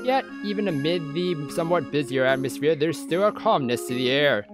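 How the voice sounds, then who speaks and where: Visual novel read-aloud host: mid-pitched, casual, hesitant asides, clear game music underneath. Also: animated, moderate pace. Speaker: let's play host.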